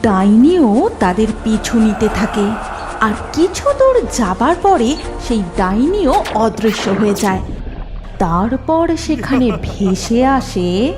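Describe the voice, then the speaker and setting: A woman's voice: on the high side, sing-song, slow pace, over music. A woman giving scripted narration for a cartoon story.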